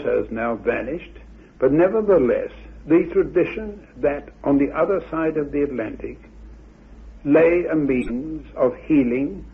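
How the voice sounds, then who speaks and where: A man explaining myth and history in a deliberate, halting, scholarly way on a muffled old radio recording. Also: medium pitch, creaky. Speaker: researcher expounding theories about Atlantis.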